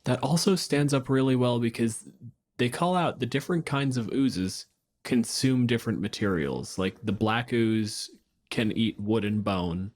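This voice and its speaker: A man from Canada co-hosting a podcast, moving between scripted reading and casual chat. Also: lowish voice. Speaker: podcast co-host.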